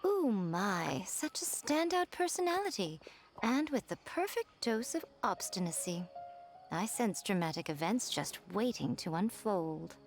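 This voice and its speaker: Sly, teasing, lilting fox shrine maiden. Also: female voice, medium pitch, very animated, measured pace, soft background music. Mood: amused, intrigued. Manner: teasing, poised, amused. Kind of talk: animated game voice acting.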